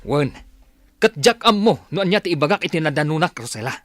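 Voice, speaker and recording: A man playing a high-pitched, scolding elder brother. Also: animated, fairly quick, a bit bright, no room sound. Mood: scolding, exasperated. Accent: Ilocano. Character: scolding, exasperated elder brother, bluntly disapproving. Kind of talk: radio drama acting.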